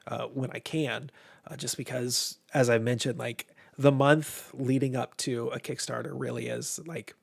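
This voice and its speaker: A man's voice: mid-range voice, steady tone, measured pace, vocal fry, husky. A man from Ohio with an American accent hosts a casual livestream, rambling informally, sounding stuffed up with a tiring voice. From Midwestern US, Ohio. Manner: rambling, informal, mildly profane. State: congested with allergies, voice tiring. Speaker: host of a game publisher's livestream.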